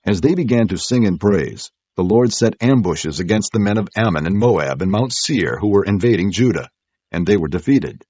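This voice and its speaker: A man narrating an audio Bible as scripted storytelling, in a measured, solemn way. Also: lowish voice, expressive, darkish tone, dry recording.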